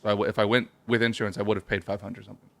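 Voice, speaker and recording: A man's voice: lowish voice, little pitch movement, very fast, gravelly. A man co-hosting a casual comedy podcast, who jokes and explains things at length. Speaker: podcast co-host. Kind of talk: casual chat. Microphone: headphones with a remote call setup.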